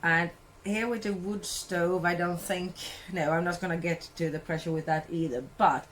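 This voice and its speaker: A woman's voice: fairly low pitch, steady tone, moderate pace, a little hiss or hum. A woman from Sweden with a Swedish accent, chatting casually about her cooking in a home kitchen, rambling and correcting herself as she goes. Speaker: cooking vlogger.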